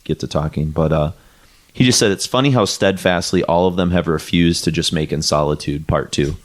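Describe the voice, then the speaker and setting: A very low-pitched man talks through a music podcast in a loose, rambling way. He leans on "like" and "you know," stops and restarts, then settles into a thought. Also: fairly quick. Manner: rambling, many fillers and restarts; reads quotes aloud. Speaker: podcast co-host. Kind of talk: casual chat about music.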